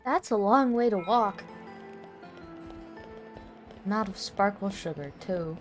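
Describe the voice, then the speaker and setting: A woman streaming a video game with casual, offhand commentary. Her voice sits at a middle pitch, with faint game music behind it. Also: animated, takes it slowly.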